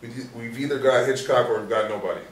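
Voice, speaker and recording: A man plays a weary, cynical police sergeant in a stage drama. He is blunt and jaded, with a medium-pitched voice. The sound is echoey and distant, with faint noise. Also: fast, lightly acted. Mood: exasperated. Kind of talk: stage play reading.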